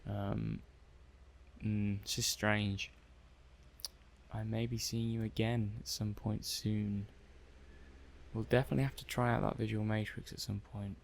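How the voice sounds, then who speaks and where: A man acts out a methodical, curious male technician in an ASMR sci-fi roleplay. The voice is soft-spoken and sits fairly low, with plenty of creaky fry. He muses haltingly, thinking aloud as if jotting down test notes. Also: flat delivery, slight background noise. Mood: intrigued, musing. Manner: hesitant, musing, thinking aloud while taking notes. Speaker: roleplay performer.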